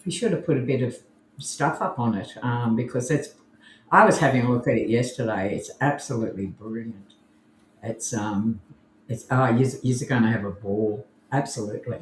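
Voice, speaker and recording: A woman's voice: rumbling low, normal speed, slight background noise. An Australian woman co-hosting a casual live podcast, chatting in a rambling way with lots of restarts. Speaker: podcast co-host. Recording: roomy echo.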